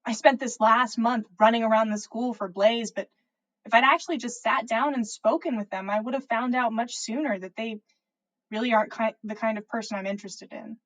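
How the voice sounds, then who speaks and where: A woman plays a lovestruck schoolgirl witch from a storybook. The girl speaks in a fairly high voice, earnest and wide-eyed, questioning things as if she is always a step behind. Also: moderate pace, lo-fi sound, lightly acted. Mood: earnest, confessional.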